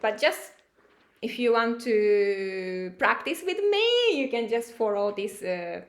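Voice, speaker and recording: Slow, careful Japanese-accented woman teacher. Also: medium pitch, animated, reverberant. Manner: patient, halting, many fillers.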